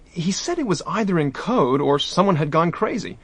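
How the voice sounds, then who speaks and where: A brash young diplomat. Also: male voice, high voice, moderate pace, dry recording, full character voice. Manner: brash, slangy, excitable. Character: young American man, brash diplomat nephew. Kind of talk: radio drama acting.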